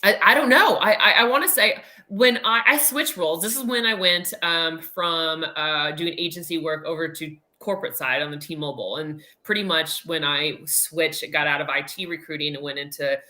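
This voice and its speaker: Low, bright-voiced American woman chatting. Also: moderate pace, few pauses. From United States. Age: middle-aged. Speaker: podcast guest, recruiter. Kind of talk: casual conversational anecdotes.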